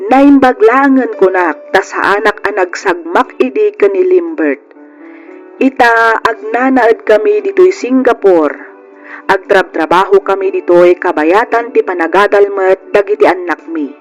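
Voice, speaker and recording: An earnest husband telling his life story on an old radio drama. His high voice is measured and heartfelt, with music playing underneath.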